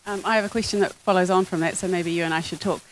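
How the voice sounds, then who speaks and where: A woman's voice: fairly low pitch, steady tone, rapid-fire, slight background noise, poor audio. A woman in the audience asking a chatty, self-mocking question. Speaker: audience member.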